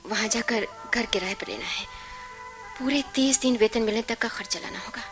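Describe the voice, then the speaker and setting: An actress in a radio play voicing a resolute, sharp-tongued young working daughter with a firm, outspoken manner. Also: female voice, fairly high pitch, normal speed, over music, lightly acted. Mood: calm, resolute. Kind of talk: Hindi radio play acting.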